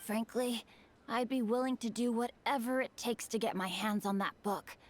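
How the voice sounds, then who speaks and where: A man's voice: extremely high pitch, normal speed, a little hiss or hum. A voice actress playing a polite young male swordsman who loves books, courteous and formal in a game. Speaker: game character.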